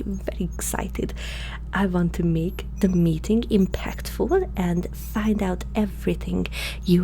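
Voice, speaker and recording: A woman voicing a curious, eager and polite alien scientist in an ASMR roleplay, speaking softly, formally and a little hesitantly. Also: fairly low pitch, gravelly, a little hiss or hum, poor audio. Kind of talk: ASMR roleplay addressed to the listener.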